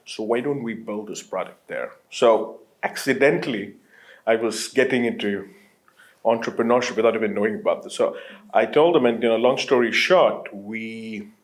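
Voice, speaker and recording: A man's voice: lowish voice, moderate pace. A man in his late thirties from Qatar, a guest on an interview show, talking casually with lots of fillers, restarts and easy laughs. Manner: rambling, many restarts and fillers, laughs easily. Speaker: entrepreneur guest.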